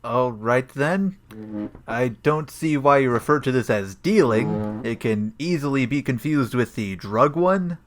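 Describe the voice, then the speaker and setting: Guy playing a flustered deadpan detective, audio comedy, rambles and hesitates, cracks dumb jokes. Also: medium pitch, unhurried, slight background noise, close to an everyday voice. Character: awkward, joke-prone male police detective, easily flustered. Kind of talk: comedic audio play acting.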